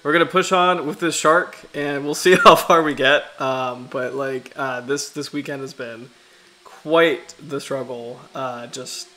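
A man in his thirties from the US Chesapeake Bay region, hosting a casual livestream in a halting, self-deprecating way, his throat slightly raspy from allergies. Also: mid-range voice, steady tone, pushed and bright. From United States, Chesapeake Bay region. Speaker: livestream host.

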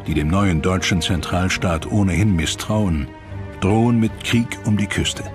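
Deep male documentary narrator over music. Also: unhurried. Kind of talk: scripted narration.

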